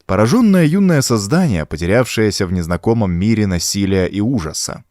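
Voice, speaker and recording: A man's voice: on the low side, expressive, a little fast, darkish tone, no echo, clean studio sound. A man reading a horror story as an audiobook narrator, in a dramatic scripted style.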